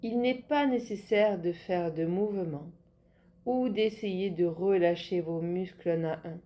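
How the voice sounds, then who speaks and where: A woman leading a guided yoga nidra relaxation as an instructor, giving calm, measured instructions. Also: fairly low pitch, muffled recording.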